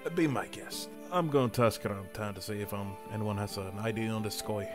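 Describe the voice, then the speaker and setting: A man's voice: on the low side, fairly quick, over music, natural voice. Man acting officious, businesslike fantasy detective. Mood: conceding. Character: Detective Ashe, brisk, officious portly male investigator. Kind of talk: fantasy audio drama acting.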